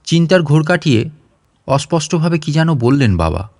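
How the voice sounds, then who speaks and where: A man's voice: a little high, sing-song, moderate pace, darkish tone, no room sound. Man narrating a story, close dry sound. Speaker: audiobook narrator. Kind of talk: dramatic scripted story narration.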